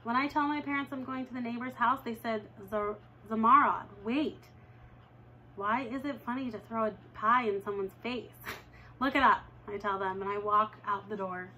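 A young woman reading a children's novel aloud at home, with lively jumps in pitch when she reads dialogue. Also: moderate pace, a little hiss or hum, poor audio. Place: living room at home, indoors.

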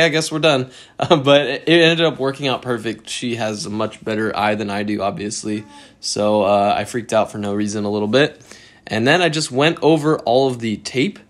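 Laid-back man narrating a DIY build. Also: mid-range voice. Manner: relaxed, chatty, self-deprecating with fillers. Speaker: DIY car channel host. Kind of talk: casual how-to voice-over.

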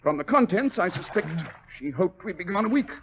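An actor playing a brisk, teasing gentleman detective with a British accent, crisp, commanding and wry, in 1940s radio style. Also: high voice, very animated. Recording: dull, noisy old radio recording.

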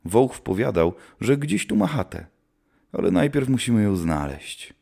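A man's voice: lowish voice, big pitch swings, measured pace, gravelly, dark tone, full character voice. A man playing a grim, seasoned witch hunter who speaks solemnly, like an old-time storyteller, in a scripted audio drama. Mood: grim, reminiscing.

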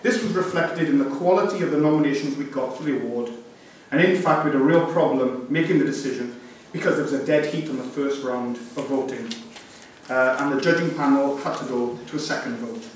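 A man's voice: medium pitch, a little fast. A man in his thirties reading a formal, scripted award presentation speech as a sponsor. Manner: formal, reading from prepared notes. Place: conference room, indoors. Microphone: lectern mic, picked up by distant camera. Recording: echoey room from far off, hissy background noise.

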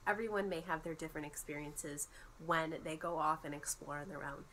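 A woman's voice: low-pitched, fairly quick, crowd noise, poor audio. A young woman with a congested voice from a cold, chatting and explaining in a casual vlog. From United States. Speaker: vlogger. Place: bedroom at home.

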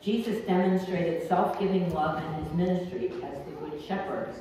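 A woman's voice: fairly low pitch, mellow. Older woman pastor in an echoey church. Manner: homely, unhurried, with frequent ums. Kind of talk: church announcements and liturgy. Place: church sanctuary. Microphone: pulpit mic from a far camera. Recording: echoey church from far off, noisy.

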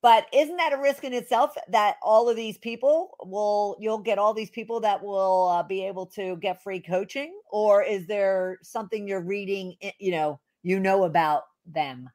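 A woman hosting a podcast interview, giving quick affirmations and asking long, halting questions. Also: mid-range voice, a little mellow. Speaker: podcast host.

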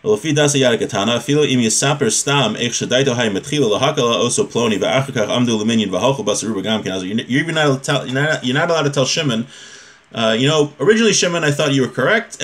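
A man's voice: mid-range voice, rapid-fire, a bit bright. A man, giving an informal religious lesson in a conversational, explanatory way. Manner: conversational, often checks in with rhetorical questions. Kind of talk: informal lecture, reading a text and explaining it. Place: small home room with bookshelf.

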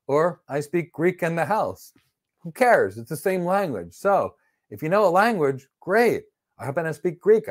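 A middle-aged man teaching networking on a live stream, answering viewer questions in a blunt, emphatic, matter-of-fact way. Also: on the high side. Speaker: networking instructor. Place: indoor studio with virtual backdrop.

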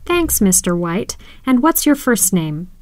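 Slow, clear-speaking woman. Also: medium pitch, big pitch swings, a little mellow, no room sound. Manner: slow, clear, careful enunciation. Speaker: voice actor in listening exercise. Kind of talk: scripted English listening dialogue.

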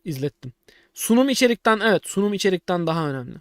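Blunt streamer with animated pitch. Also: male voice, fast talker, dry recording, clean studio sound. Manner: blunt, slangy, repeats himself for emphasis. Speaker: streamer reacting to a video. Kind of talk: casual stream commentary.

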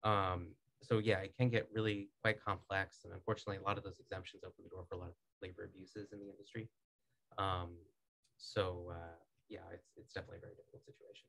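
Deep-voiced man, brisk and measured. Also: flat delivery, gravelly, a bit bright, low-quality recording. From United States. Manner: measured, articulate, many "um"s and restarts. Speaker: guest. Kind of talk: casual interview talk. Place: small acoustic-foam podcast studio. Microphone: studio boom mic.

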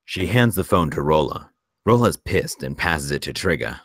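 A man narrates a screenplay in a very deep voice. He reads out scene and action directions as scripted narration. The sound is dry, with little room. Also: sing-song, normal speed, a bit bright, no room sound. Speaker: narrator reading stage directions.

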